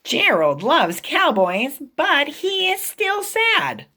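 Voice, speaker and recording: A woman voicing an eager, caring young female pig from a children's picture book, with expressive, drawn-out delivery. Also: sits high, pitched above the performer's own voice, heavily acted. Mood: earnest, caring.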